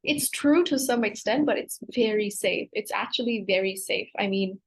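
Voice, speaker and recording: A woman's voice: mid-range voice, normal speed, husky. A woman in her twenties from Hong Kong, a PhD student chatting as a guest on a video call, with frequent "like" fillers. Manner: chatty, fills with "like". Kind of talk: casual interview chat.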